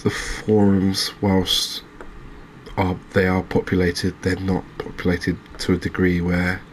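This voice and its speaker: A man with an English accent giving soft-spoken ASMR game commentary in a hushed, unhurried, hesitant manner. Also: low-pitched, monotone, a little hiss or hum. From England. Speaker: gaming video host.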